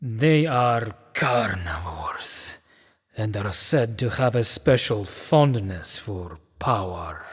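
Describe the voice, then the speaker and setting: A man voicing a grave male pony king in a fan-made radio drama, speaking solemnly and sinking into hushed whispers. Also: fairly low pitch, animated, slow, slightly rough, full character voice. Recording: long hall reverb.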